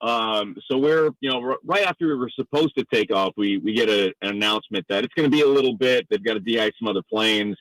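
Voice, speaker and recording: A man's voice: lowish voice, fast, hardly any pauses, a bit bright, dry recording, rough recording. A man from the Northeastern United States, a sports announcer, talking conversationally and wordily as a radio interview guest.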